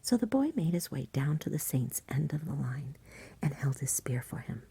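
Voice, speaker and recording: A woman reading a story in a hushed, soothing ASMR whisper, close to the microphone. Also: sits low, very animated, moderate pace. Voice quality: breathy whisper. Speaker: ASMR reader.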